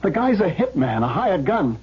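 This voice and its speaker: An actor playing a frightened, agitated husband with a husky, strained voice in a 1970s radio drama. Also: male voice, high voice, big pitch swings, normal speed, exaggerated voice. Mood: panicked. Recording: dull lo-fi 1970s radio recording.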